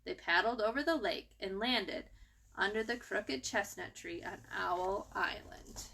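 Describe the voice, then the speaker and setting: Bright-voiced woman reading a children's story. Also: medium pitch, a little slow, vocal fry. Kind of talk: expressive storybook read-aloud.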